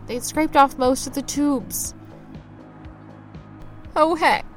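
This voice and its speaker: Woman playing an anxious high-voiced young driver. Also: takes it slowly, faint music behind. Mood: dismayed. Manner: expressive, fretful, exclamatory. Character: anxious, scrappy young driver narrating their own misadventures. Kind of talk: dramatised first-person audio fiction narration.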